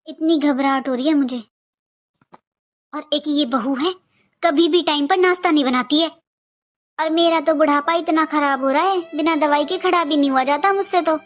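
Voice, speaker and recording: A woman's voice: high-pitched, fairly even intonation, normal speed, pauses often, natural voice. A woman voicing a worried, complaining elderly Indian mother in a cartoon family skit, plaintive and explanatory. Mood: weary, plaintive.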